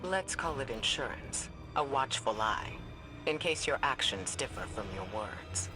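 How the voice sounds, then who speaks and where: An actor playing a cautious, authoritative leader of guardians in a video game, measured and firm. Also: male voice, mid-range voice, animated, over music, lightly acted. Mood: guarded. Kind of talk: video game dialogue acting.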